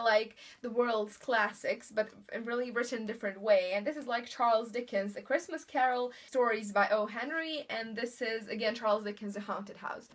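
Bubbly young woman, Bosnian accent. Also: fairly high pitch. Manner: chatty, upbeat, many fillers and "really". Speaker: book vlogger. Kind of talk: casual chat. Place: indoor room in front of bookshelves. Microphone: camera in front of her.

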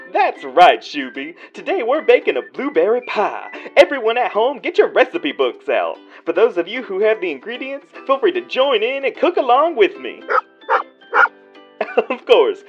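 A woman playing a cheery TV cooking show host, chirpy and instructional as she addresses viewers at home. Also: medium pitch. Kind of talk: TV cooking show presenting, acted. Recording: dull lo-fi TV sound with music.

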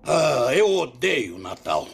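An actor voicing a grumpy middle-aged actor in a Santa suit, raspy and theatrical, for a cartoon dub. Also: male voice, medium pitch, big pitch swings, slow pace, exaggerated voice. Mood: bitter. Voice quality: raspy, gravelly. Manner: bitter, theatrical complaining.

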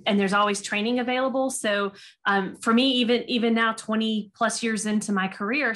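A woman's voice: mid-range voice, a bit bright. A woman in her forties casually explaining her job as a panelist on a video call. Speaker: panelist, agent. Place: indoors, home room.

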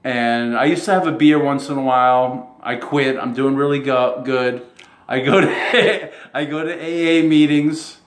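Rambling man, roomy echo. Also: mid-range voice. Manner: rambling, halting, chuckles between phrases. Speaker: self-described model. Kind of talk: casual monologue to camera.

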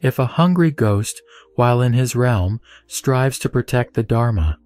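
A man giving a steady, even reading of a Buddhist scripture. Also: mid-range voice, a little slow, dry recording. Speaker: sutra reciter. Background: soft background music.

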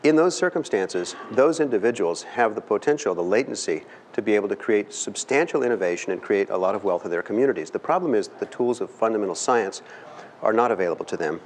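Middle-aged male lecturer, low voice, earnest and emphatic, long hall reverb. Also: expressive, slight background noise. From California. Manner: earnest, emphatic, with pauses and fillers. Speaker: guest speaker. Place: large dim lecture hall. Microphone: far camera.

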